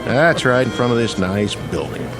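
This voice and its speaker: A man's voice: medium pitch, animated, moderate pace, over music, lightly acted. An actor playing a kindly, streetwise policeman in a vintage radio drama, casual, colloquial and coaxing. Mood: matter-of-fact, kindly. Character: kindly, folksy streetwise policeman on night beat. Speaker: police officer.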